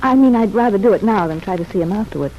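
An actress playing a contrite, earnest young ex-wife in an old-time radio soap opera, speaking in a confiding, measured way. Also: female voice, medium pitch, animated, mellow, muffled recording, lightly acted. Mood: resolved. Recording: noisy, band-limited vintage radio recording.

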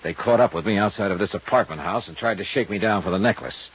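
An actor playing a laconic, world-weary investigator in 1950s radio drama, dry, clipped and matter-of-fact. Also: male voice, on the low side, fast, fairly bright tone, breathy edge. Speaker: lead detective and narrator. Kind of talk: 1950s hard-boiled radio acting and first-person narration. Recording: dull old radio recording.

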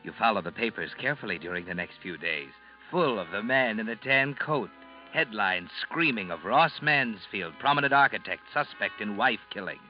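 An actor playing a sardonic, taunting narrator who speaks straight to the heroine in an ominous, dramatic old-time radio style. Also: male voice, fairly low pitch, expressive, moderate pace, faint music behind, lightly acted. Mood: gloating, ominous. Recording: muffled old radio recording.

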